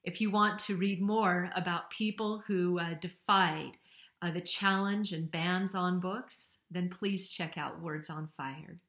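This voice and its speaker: A middle-aged woman gives a prepared talk to camera as an author. Her voice is on the low side. The home office around her adds a clear roomy echo. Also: steady tone, takes it slowly, a bit bright. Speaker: historical novelist for young readers.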